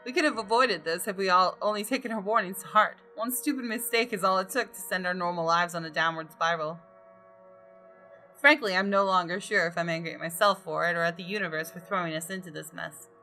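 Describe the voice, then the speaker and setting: A woman reading visual novel narration aloud with deliberate, dramatic storytelling. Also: on the low side, bright, forceful tone. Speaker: gaming video host. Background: music.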